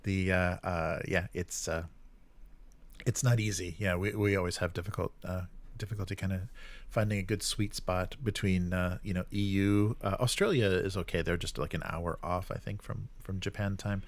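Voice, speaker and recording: Low-voiced man, halting casual livestream host. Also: fairly even intonation. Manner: halting, rambling, jokey.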